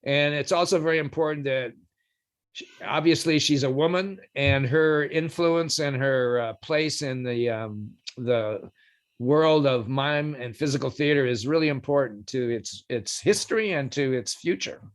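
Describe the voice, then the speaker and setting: A man hosting a podcast, giving a playful, measured introduction of his guest. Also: medium pitch. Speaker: podcast host.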